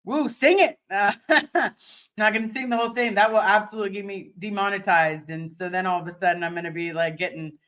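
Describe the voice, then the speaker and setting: A woman with a deep voice, slightly hoarse today, hosting a casual livestream and answering viewer chat in a rambling way, often restarting and repeating words. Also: fairly quick, a bit bright.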